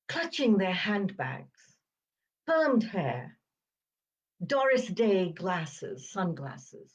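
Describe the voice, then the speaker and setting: A woman in her seventies from Uganda with an upper-crust British accent, telling a reflective personal story with crisp enunciation and deliberate pauses. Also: mid-range voice. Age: 70s. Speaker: podcast host.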